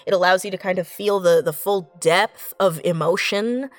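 A woman speaking reflectively and earnestly in a panel conversation, haltingly, often repeating words as she finds her thought. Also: lowish voice, dry recording, faint music behind. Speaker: podcast guest writer.

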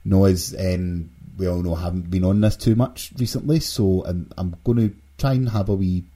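Deep, husky podcast host. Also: male voice, fairly even intonation, normal speed, dark tone. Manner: jokey banter. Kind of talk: casual group podcast chat.

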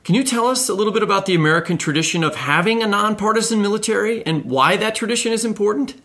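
Higher-voiced man hosting a podcast. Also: quick pace, sharp tone. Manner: loose, rambling questions with fillers and restarts. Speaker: podcast co-host. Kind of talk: conversational interview hosting.

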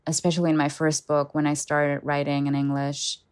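A young woman poet who grew up in Germany, with German as her first language, speaking in a measured, thoughtful way and pausing to search for words. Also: sits low, level tone, a little mellow, clear, resonant tone, no room sound, clean studio sound. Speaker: poet guest. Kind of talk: reflective conversation.

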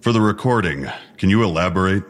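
A man acting as a police detective in an audio drama interview. His voice sits deep in the male range. He questions formally and curtly, with a stern, dry and sarcastic edge. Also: fast talker, close to an everyday voice. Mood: formal, probing.

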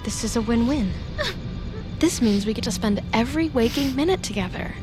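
A young, obsessive frenemy with a fairly high voice, acted by a woman in an audio drama. She is sugary and menacing at once, taking obvious glee in control, over a clear music bed. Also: expressive, a little fast, natural voice. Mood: cooing, possessive. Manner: saccharine, gleefully controlling. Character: manipulative, obsessive young woman, sweetly menacing former best friend.

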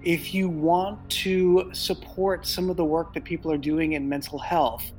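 Male show creator, higher register, even intonation, scripted address to listeners, faint music.

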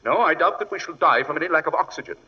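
An actor playing an imperious, coolly sardonic British detective in 1940s radio drama style, crisp, superior and dryly witty. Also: male voice, on the high side, animated, rapid-fire. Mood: dry, composed. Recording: dull reverberant vintage radio recording.

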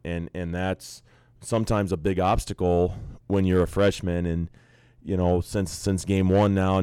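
Low-voiced college basketball coach. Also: male voice, clean tone, no room sound. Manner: relaxed, talkative, frequent restarts. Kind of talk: casual interview chat.

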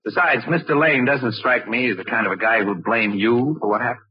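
Brash radio crime photographer, played by a man, husky, muffled. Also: mid-range voice, brisk, lightly acted. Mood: hard-nosed. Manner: slangy, pushy, sardonic. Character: brash, wisecracking male crime photographer playing detective. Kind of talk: 1940s radio acting. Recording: muffled, roomy old radio recording.

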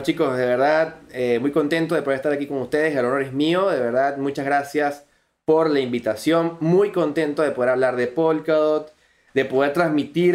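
A young man with a Venezuelan accent, a podcast guest telling his story earnestly and fluently, with frequent restarts. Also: medium pitch. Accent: Venezuelan Spanish. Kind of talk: podcast interview.

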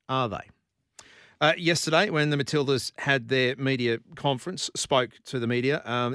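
An Australian man hosts a sports talkback show in a conversational, questioning way. His voice sits at an ordinary middle pitch. The sound is close and dry. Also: fairly bright tone, no echo. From Brisbane, Australia. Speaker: radio sports host. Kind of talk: talkback radio monologue.

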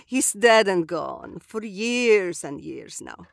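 A woman playing a rambling old widow with a dark secret. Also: medium pitch, expressive, slow, gravelly, no room sound, studio quality. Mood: doddering. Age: older. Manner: doddering, rambling, bawdy, turns menacing. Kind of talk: audio drama acting.

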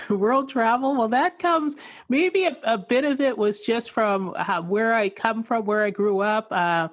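A woman's voice: on the high side, a little slow, dry recording. A woman raised in England and Canada, a guest author answering interview questions in a chatty manner and laughing often.

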